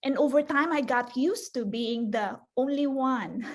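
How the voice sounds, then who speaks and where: Woman, high voice, unhurried, conference presenter, lots of ums and restarts, video call audio. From Philippines. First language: Filipino (Tagalog). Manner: hesitant, many fillers and restarts, laughs often. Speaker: librarian presenter. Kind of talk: conference talk.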